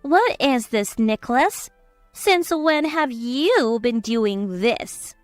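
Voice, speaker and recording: Woman playing an indignant mother, high animated voice. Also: slow pace, no echo, faint music behind. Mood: distraught, accusing. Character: indignant, doting mother and betrayed wife. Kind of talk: scripted story dialogue.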